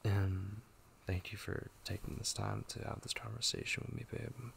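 A man playing a tender, devoted young gamer boyfriend in an ASMR roleplay, speaking softly, intimately and hesitantly with a husky voice. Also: lowish voice, level tone, moderate pace, a little hiss or hum. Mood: loving, grateful. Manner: soft, hesitant, intimate, with murmured asides. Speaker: ASMR voice actor.

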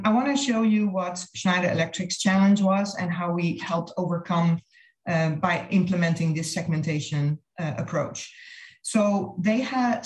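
A woman giving a businesslike, explanatory slide presentation over a video call. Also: on the low side. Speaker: marketing consultant presenting a case study. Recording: roomy, echoey video-call audio.

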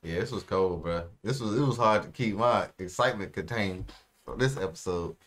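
A man's voice: low-pitched, moderate pace, a bit bright, a little hiss or hum. A young American man giving casual, slangy reactions as an anime video host, recorded seated in a small room. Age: young adult. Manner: slangy, informal.

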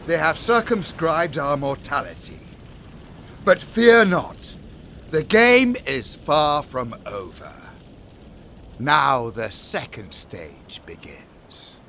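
A sinister cult lord, slow and swoopy delivery, music behind, audio drama. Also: male voice, medium pitch, big pitch swings, sharp tone, slight background noise, full character voice. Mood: grandly defiant. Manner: measured, grandiose, smooth menace. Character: suave, menacing male pagan lord and cult leader. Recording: music under the voice.

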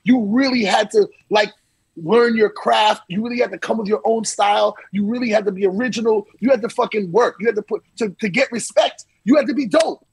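A man's voice: sits high, a little fast, vocal fry, slightly rough, no room sound. A man in his forties, a DJ guest on a casual podcast, talking over a video call from a small room at home, swearing freely and repeating himself as he tells stories. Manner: animated, hand-waving storyteller, swears freely and repeats himself. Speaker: DJ and interview guest.

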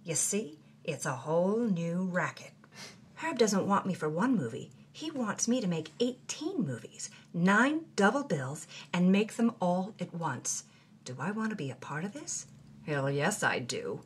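A woman hosting a story podcast, reading journal entries in a wry, expressive way. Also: on the low side, measured pace, breathy edge. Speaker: host and narrator.

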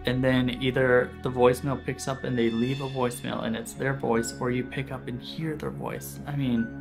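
A man's voice: medium pitch, level tone, moderate pace, creaky. A man in his thirties with an American accent, telling stories casually to camera as a vlog host in a conversational, informal way. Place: small indoor room set. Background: background guitar music.

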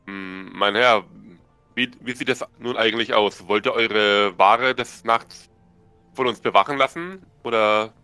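A man playing a courteous hired adventurer in a tabletop game, speaking in a measured, formal, hesitant way. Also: fairly low pitch, bright, forceful tone, clear, resonant tone, dry recording, soft background music.

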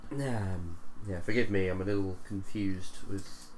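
Low-voiced, slow-talking hesitant man. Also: darkish tone. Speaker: player. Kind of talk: casual in-game roleplay chat. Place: living room, group seated around a table. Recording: faint room noise.

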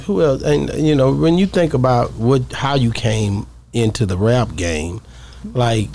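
A middle-aged man hosts the podcast from a studio mic, his voice gravelly and textured. He talks casually with a hype edge, over a faint room hum. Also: medium pitch, a little slow, darkish tone. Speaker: podcast host. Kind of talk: casual show host chat. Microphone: studio mic with headphones.

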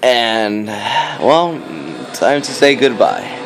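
A man's voice: medium pitch, very slow, a little hiss or hum, low-quality recording. A fourteen-year-old boy giving casual, hesitant, rambling gameplay commentary, recorded on a phone. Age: teenager, fourteen. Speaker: gaming video maker. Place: indoors, filming a game on a screen. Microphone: handheld phone. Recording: phone recording with game music behind. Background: game music.

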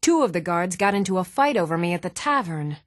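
An actress playing an even-toned townswoman and shopkeeper in a fantasy video game, speaking in measured, clearly enunciated, theatrical phrases. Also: lowish voice, dry recording, clean studio sound. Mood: indignant, wry. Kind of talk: scripted video game voice acting.

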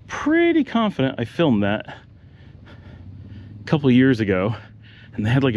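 A man's voice: mid-range voice, muffled recording, slight background noise. A middle-aged man casually narrating a walking tour, pointing out houses and shops as he strolls outdoors on neighborhood streets. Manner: relaxed, pointing things out as he walks. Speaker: vlogger giving a walking tour.

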